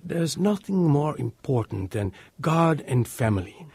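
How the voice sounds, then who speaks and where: A man's voice: a little high, sing-song, measured pace, creaky, darkish tone, husky, no echo, studio quality, full character voice. An actor playing a kindly, earnest stepfather who loves his family in a radio drama.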